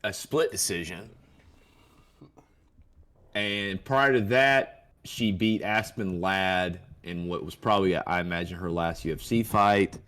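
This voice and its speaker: A man's voice: low-pitched, unhurried, fairly bright tone. A man co-hosting a podcast in casual sports chat, teasing and quizzing his partner over fight predictions. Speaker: co-host of an MMA podcast.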